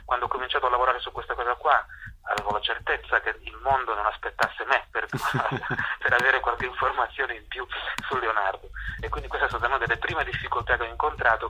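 A man's voice: mid-range voice, steady tone, brisk, sharp tone, a little hiss or hum. A man being interviewed as a guest playwright and actor, talking reflectively and searching for words, often repeating himself. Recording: dull lo-fi phone or radio line.

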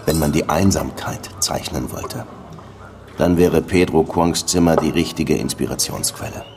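Very deep-voiced weary detective. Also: male voice, unhurried, creaky, noisy background, exaggerated voice. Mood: brooding. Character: world-weary Cuban male police detective narrating his case. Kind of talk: radio play narration and dialogue. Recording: music under the voice.

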